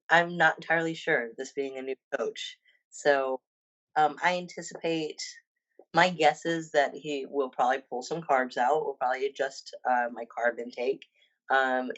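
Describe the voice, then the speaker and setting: A woman's voice: very deep voice, dull audio. A woman in her fifties, a personal trainer and bodybuilder, talking casually as a podcast guest with many ums and restarts. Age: 50s.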